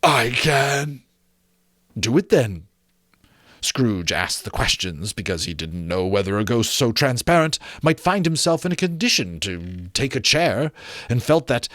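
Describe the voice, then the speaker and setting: A man reading a classic story aloud as dramatic narration, theatrical and deliberate, building suspense. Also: mid-range voice, a bit bright, dry recording, clean studio sound. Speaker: amateur audiobook narrator.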